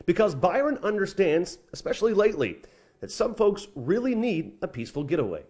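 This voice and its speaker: Male American feature reporter. Storytelling narration, lively melodic swings, slightly high register. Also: brisk. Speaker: TV news reporter.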